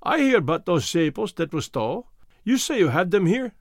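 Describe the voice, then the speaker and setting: A man voicing a persistent, literal-minded beat policeman in a storybook, matter-of-fact and deliberate. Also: high-pitched, very animated, no echo, studio quality, heavily acted.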